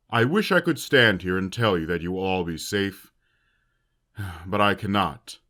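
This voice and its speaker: A man's voice: on the low side, a bit bright, exaggerated voice. A man playing a stern but caring academy headmaster giving a formal, solemn speech in an audio drama. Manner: solemn, oratorical.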